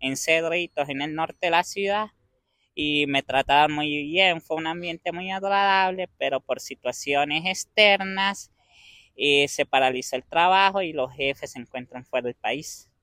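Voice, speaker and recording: Young venezuelan guy w/ andean accent, bright higher voice, dry close sound, talks slow and careful. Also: no room sound. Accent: Venezuelan Andean Spanish. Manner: measured, pauses to find words. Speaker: interviewee. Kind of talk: conversational interview answers.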